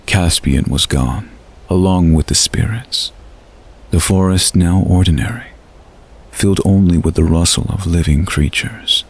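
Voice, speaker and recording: A man narrating a scripted fantasy story in a measured, dramatic storytelling manner. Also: deep voice, breathy edge.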